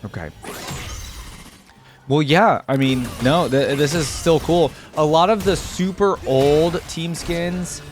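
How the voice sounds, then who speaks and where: A man giving casual, slangy, enthusiastic gaming reaction commentary, thinking out loud as he goes. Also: on the high side, takes it slowly, slight background noise. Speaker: reaction video host. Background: game music.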